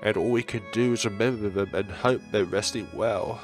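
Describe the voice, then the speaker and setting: A man talking in an earnest, reflective, halting way as a gaming channel host. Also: lowish voice, over music. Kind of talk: reading visual novel text, reflective commentary.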